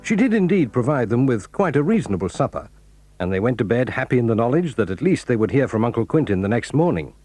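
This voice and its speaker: Husky-voiced male storybook narrator. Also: mid-range voice, sing-song, quick pace, a little mellow, no room sound, faint music behind. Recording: dry studio.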